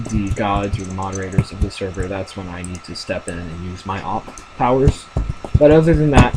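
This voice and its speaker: Young male gamer, deep voice, rambling casual commentary, noisy home recording. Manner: rambling, thinking aloud with many fillers. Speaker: gamer narrating his play.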